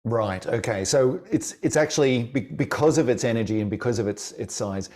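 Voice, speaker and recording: A man hosts a science webinar in an upbeat, friendly way. His voice sits at a middle pitch for a man. He thanks the speaker and then moves into the questions. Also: moderate pace, darkish tone. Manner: upbeat, reads viewer questions. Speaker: webinar host. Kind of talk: moderating a question session.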